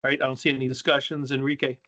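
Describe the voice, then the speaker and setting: The audio is dull and lo-fi. A man with a flat, even delivery chairs a board meeting, keeping things procedural and plain-spoken at a middling pitch. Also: racing. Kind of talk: informal running of a formal meeting.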